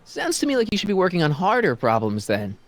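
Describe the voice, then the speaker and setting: Lively, curious teenage boy. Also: sits high, quick pace, full character voice. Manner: casual, questioning, slightly wry. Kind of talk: video game character acting.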